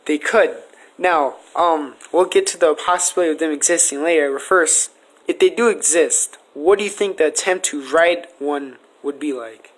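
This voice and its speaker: Higher-pitched man hosting a casual podcast debate. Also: normal speed, poor audio. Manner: earnest, speculative, argues his case. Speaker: podcast host asking questions.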